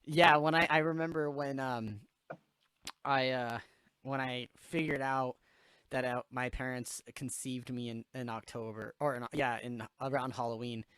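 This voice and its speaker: Podcast host, man in his late twenties, smooth clear voice, dry close sound, loose and profane storyteller. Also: medium pitch, fairly even intonation, measured pace, a bit bright, no echo. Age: late 20s. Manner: loose storytelling, swears freely, laughs at own jokes. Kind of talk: casual chat.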